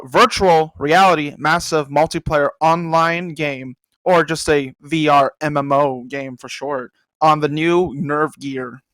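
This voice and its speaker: A man's voice: fairly high pitch, a bit bright, dry recording. A young man hosting a casual comedic anime commentary, rambling with self-deprecating jokes muttered under his breath. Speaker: host.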